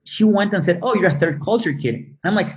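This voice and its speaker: A man who learned English as a second language, talking casually as an interview guest in quick animated bursts with fillers and restarts. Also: sits high. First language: not English. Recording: muffled lo-fi audio.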